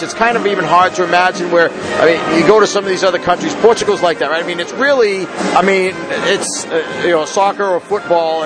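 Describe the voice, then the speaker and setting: A man's voice: high voice, low-quality recording. A man doing a casual sports interview, asking rambling, unscripted questions and stumbling over his words. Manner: rambling, stammering questions with tag "right?". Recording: clear background noise, urban ambience.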